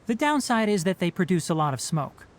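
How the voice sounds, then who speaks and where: A man's voice: sits high, big pitch swings, fairly quick, a little hiss or hum. A man playing a young, knowledgeable forest ranger in a video game, instructive and slightly wry. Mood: matter-of-fact. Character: young male forest ranger, knowledgeable, dry, gently strict. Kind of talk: video game character acting.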